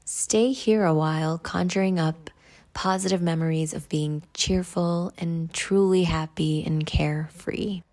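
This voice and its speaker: A woman leading a guided meditation in a measured, instructional way. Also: on the low side, fairly even intonation, a little mellow.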